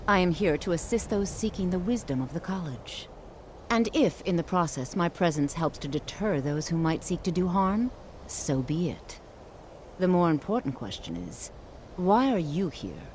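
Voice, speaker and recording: A formal, haughty female mage guarding a college gate for a fantasy game. Her voice sits on the low side and moves widely in pitch as she probes in measured phrases. Clear background noise sits behind her. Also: sing-song, darkish tone. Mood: measured, probing. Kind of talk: fantasy video game dialogue.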